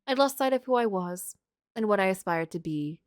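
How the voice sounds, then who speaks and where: A woman's voice: mid-range voice, animated, a little fast, dry recording, studio quality, lightly acted. A woman voicing a tormented, self-loathing middle-aged male writer in a brooding, melodramatic literary game monologue. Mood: brooding regret. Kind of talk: dramatic first-person reading of game story.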